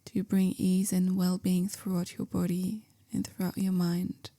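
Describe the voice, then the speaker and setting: A woman guiding a meditation in a gentle, calm, measured way, softly spoken with whispery phrase endings. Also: lowish voice. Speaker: meditation guide, yoga teacher. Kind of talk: guided meditation, slow soothing instruction.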